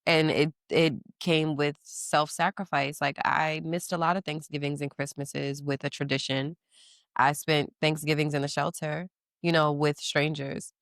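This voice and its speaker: A woman in her thirties speaking candidly as a podcast guest, animated and quick to laugh, with casual slang. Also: low voice, dry recording, clean studio sound. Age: 30s. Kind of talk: casual interview chat.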